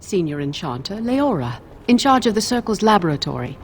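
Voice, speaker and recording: A woman's voice: medium pitch, big pitch swings, brisk, slight background noise. An actress playing a curt, irritable senior female mage in a video game, brusque and dismissive. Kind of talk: scripted video game dialogue.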